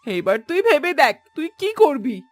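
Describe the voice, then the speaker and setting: A woman's voice: high voice, sing-song, normal speed, dry recording, soft background music. A woman voicing a scolding, melodramatic older village mother-in-law in a cartoon, with a theatrical, nagging manner. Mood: nagging, threatening. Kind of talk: Bengali cartoon voice acting.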